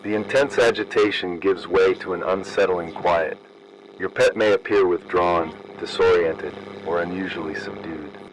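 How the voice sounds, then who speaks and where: A man acting as an official emergency announcer with a low, grave voice. He delivers scripted analog horror warnings in a formal, measured and ominous way. A faint layer of noise sits under the broadcast. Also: natural voice, poor audio.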